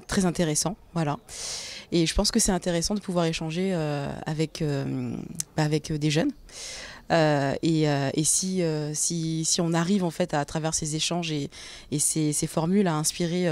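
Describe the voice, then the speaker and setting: A woman's voice: low voice, steady tone, moderate pace, darkish tone. A woman filmmaker and producer answering interview questions, enthusiastic but hesitant, with many fillers.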